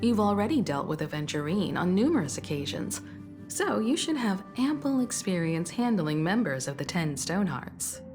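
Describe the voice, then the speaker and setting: An actress voicing a composed, mature woman mentor in a video game, calm and measured. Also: on the low side. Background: game soundtrack music.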